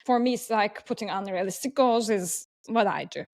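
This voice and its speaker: A woman host with a Turkish accent talks in a conversational podcast interview style. Her voice sits at an ordinary middle pitch for a woman. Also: normal speed, a little mellow. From Turkey.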